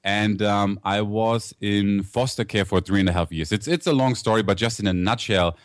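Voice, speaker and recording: A man's voice: on the low side, moderate pace, no echo, studio quality. A man in his thirties with a German accent, telling his story as a guest with upbeat energy. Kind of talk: interview storytelling.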